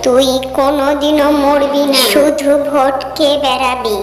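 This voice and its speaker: A woman voicing a bereaved, bitter old village woman who pronounces a curse slowly and solemnly in an animated horror story. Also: sits high. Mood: bitter cursing. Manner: slow, solemn, drawn-out pronouncements. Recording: echoey, long reverb, music behind.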